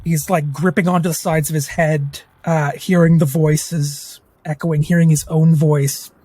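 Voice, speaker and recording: A husky-voiced player describing their character in a tabletop game, close and dry. Faint music plays behind. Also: mid-range voice, flat delivery, unhurried, dark tone, no echo.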